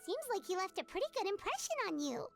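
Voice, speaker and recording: A woman's voice: very high-pitched, very animated, fast, gravelly, full character voice. A woman voicing a tiny, perky, childlike girl adventurer in a video game, earnest and inquisitive. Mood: thoughtful. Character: tiny, chirpy, childlike female companion adventurer. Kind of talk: cartoonish video game voice acting. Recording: music under the voice. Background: game music.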